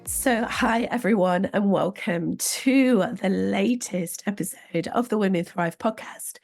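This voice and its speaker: A woman hosting an interview podcast, with a slightly squeaky voice today, introducing her guest in a friendly, measured way. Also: gravelly, a little mellow, soft background music. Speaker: podcast host.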